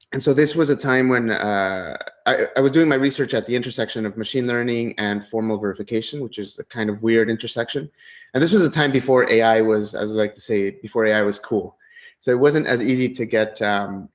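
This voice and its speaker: A middle-aged man from Ecuador giving a relaxed conference talk over a video call, with many fillers and restarts. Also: mid-range voice. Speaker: keynote speaker. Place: home office. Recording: dull lo-fi video call audio.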